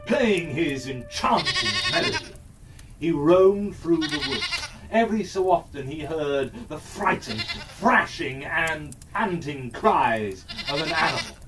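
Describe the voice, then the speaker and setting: Guy reading a kids fairytale, really slow n lively pitch, bit far from the mic. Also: over music. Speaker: storyteller, narrator. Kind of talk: scripted children's fairytale narration. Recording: distant mic with faint background noise.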